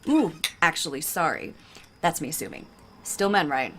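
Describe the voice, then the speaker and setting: A woman's voice: sits low, lively intonation, normal speed, fairly bright tone, a little hiss or hum. An actress playing a lovelorn, sardonic woman diplomat, conversational and hesitant, in an audio drama. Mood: teasing. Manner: hesitant, conversational, self-correcting.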